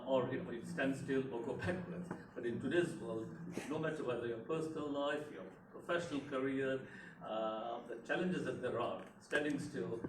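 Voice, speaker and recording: A middle-aged man from Tanzania, an elected politician, giving an unscripted speech at a community event, hesitant with many fillers and restarts. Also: mid-range voice. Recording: echoey room, mic far off, background noise.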